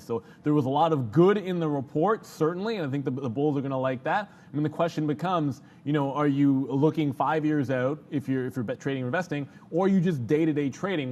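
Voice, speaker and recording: A man's voice: medium pitch, a little mellow, dull audio. A man working as an analyst on a live trading show, explaining the market off the cuff in long, winding sentences. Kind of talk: unscripted analytical market commentary.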